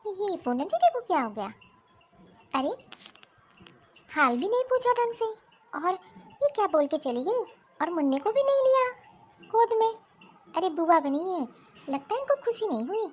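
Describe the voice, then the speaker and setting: Meek young daughter-in-law acted by a woman, very high voice, polite, plaintive, cartoon family drama. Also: sing-song, measured pace, long pauses, a little mellow, exaggerated voice. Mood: puzzled hurt. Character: meek, polite young mother just after childbirth. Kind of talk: animated Hindi family drama dialogue.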